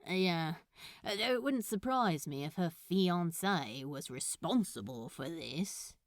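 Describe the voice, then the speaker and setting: A woman voicing a blunt, sardonic but soft-hearted man in casual, exclamatory fan fiction dialogue. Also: fairly low pitch, animated, takes it slowly, no echo, studio quality, voice pushed down. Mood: wry.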